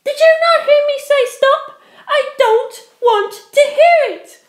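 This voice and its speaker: A narrator putting on a shrill, furious young woman. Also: slow, echoey room. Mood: enraged, threatening. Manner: shrill, explosive, snapping.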